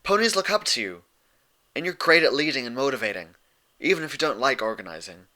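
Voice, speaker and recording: Storybook role: caring but exasperated unicorn mare, insistent, higher-pitched and sharply bright male reader performing. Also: sing-song, a little fast. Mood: urgent. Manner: insistent, pleading, lecturing. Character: earnest, exasperated young female unicorn pony, urgent and caring.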